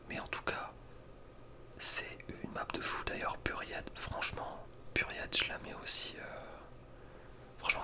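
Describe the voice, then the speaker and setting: A man speaking in a breathy whisper, giving informal, slangy ASMR commentary as a gaming video creator. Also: bright, forceful tone. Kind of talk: whispered ASMR casual commentary ranking video game maps.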